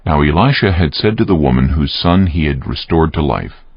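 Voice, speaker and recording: Male narrator, dramatic scripture reading. Extremely low, animated delivery, slightly dull recording. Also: moderate pace, breathy edge, no room sound. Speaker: narrator of a dramatized Bible audiobook. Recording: dull, muffled audio.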